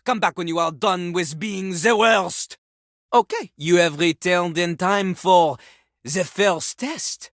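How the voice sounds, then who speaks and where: A woman's voice: lowish voice, slow, no room sound, studio quality. A woman voicing a boastful, vulgar male French rapper toilet in a video game, with a French accent and a pompous, theatrical delivery that slips into rap. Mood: scornful, challenging. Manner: pompous, refers to himself in the third person.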